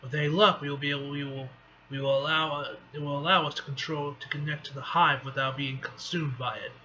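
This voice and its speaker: A man voicing a grimly determined comic book hero bonded to an alien symbiote, low-key and halting. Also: level tone, pushed and bright. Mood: grim resolve. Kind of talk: amateur comic book read-aloud acting. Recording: dull lo-fi mic with faint noise.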